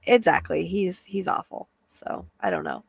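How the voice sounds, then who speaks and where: A woman's voice: on the low side, huge pitch swings, normal speed, vocal fry, husky, no room sound. A woman hosting a casual live chat as a psychic medium, with a soothing voice and a chatty, hesitant way of talking full of fillers.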